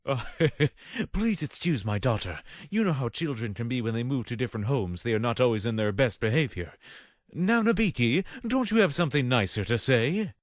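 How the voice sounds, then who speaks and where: Man as a very expressive, formal father. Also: mid-range voice, moderate pace, dry recording, studio quality, natural voice. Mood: apologetic. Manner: formal, placating. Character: courteous, fussy middle-aged father of daughters. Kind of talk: fan audio drama acting.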